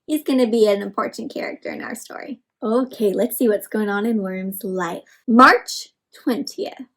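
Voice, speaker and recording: A woman's voice: mid-range voice, normal speed, fairly bright tone. A woman hosting an interactive read-aloud for children, speaking expressively and instructively and often putting questions to her young listeners.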